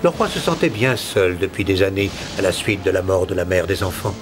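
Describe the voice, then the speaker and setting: A storybook narrator, tells a cartoon fairy tale expressively. The voice sits fairly low and rises and falls a great deal, with music playing behind it. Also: male voice, sing-song, fairly quick. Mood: storytelling.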